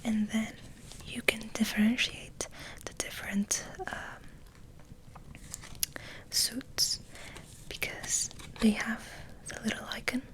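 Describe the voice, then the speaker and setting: A woman hosting ASMR, rambling in a soft, breathy, hesitant whisper. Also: on the high side, level tone, takes it slowly, pauses often, low-quality recording. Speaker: ASMR video host.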